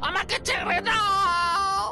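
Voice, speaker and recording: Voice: extremely high pitch, steady tone, slow pace. A performer voicing a frightened little boy in a children's cartoon, stuttering and pleading. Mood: scared, pleading. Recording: loud music under the voice.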